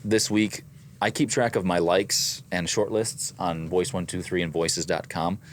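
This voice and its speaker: A man's voice: low voice, steady tone, measured pace, a little hiss or hum. A man with a slight Midwestern accent, co-hosting a casual business chat and methodically reading out his weekly numbers. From American Midwest. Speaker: voice actor and co-host.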